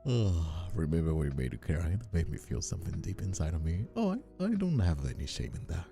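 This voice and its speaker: A man's voice: low voice, very animated, a little fast, creaky, dark tone, soft background music. A man chatting casually as a streamer, hesitant and often repeating his words as he goes. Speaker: streamer, host. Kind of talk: casual live stream chat.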